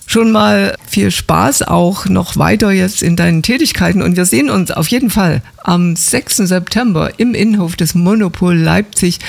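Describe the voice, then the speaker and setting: A man's voice: sits high, gravelly. A man hosting an interview in a chatty, affable, conversational way. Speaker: radio-style interviewer.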